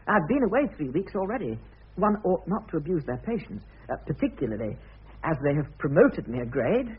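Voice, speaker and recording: A man's voice: fairly high pitch, very animated, brisk, slightly rough, heavily acted. An actor in a radio play voicing a timid, earnest bank clerk, a fussily polite man who hesitates, stammers and apologises. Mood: earnest, prim. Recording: dull, muffled old radio drama recording.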